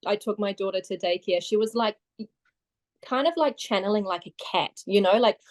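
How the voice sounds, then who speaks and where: A young woman with a New Zealand accent, a podcast guest chatting in a friendly, animated way. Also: mid-range voice. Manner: friendly, animated, quick to laugh. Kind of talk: casual chat interview.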